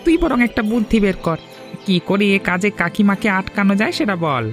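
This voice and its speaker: A mocking, scheming village husband boasts in a cartoon, voiced by a man. His voice is high and gravelly. His pitch leaps about all the time. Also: big pitch swings, a little fast. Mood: impatient. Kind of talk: Bengali cartoon voice acting. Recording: music under the voice.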